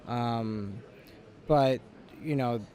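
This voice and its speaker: A man's voice: medium pitch, extremely slow, dark tone, rough recording. A man from Nepal, a film co-producer, answering interview questions in a casual, fluent way with frequent fillers. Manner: casual, fluent, with "um" and "you know".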